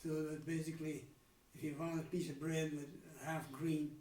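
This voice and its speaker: A man's voice: on the high side, little pitch movement, a little mellow, roomy sound, recorded from a distance, rough recording. A man in his nineties with a Dutch accent, recalling his childhood in a candid interview and often stopping to restart his sentences. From Netherlands. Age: 90s. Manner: halting, many restarts and trailing pauses. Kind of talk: candid life-story interview.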